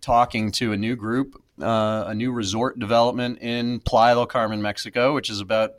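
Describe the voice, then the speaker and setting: A man's voice: mid-range voice, level tone, normal speed, no room sound, studio quality. A man in his thirties, a podcast guest, speaking reflectively with many fillers and restarts. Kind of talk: casual interview.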